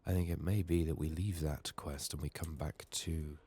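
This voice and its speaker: A soft-spoken man giving unhurried ASMR game commentary, musing to himself and sometimes slipping into a whisper. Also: rumbling low, fairly even intonation, slightly rough. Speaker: gaming streamer, ASMR host.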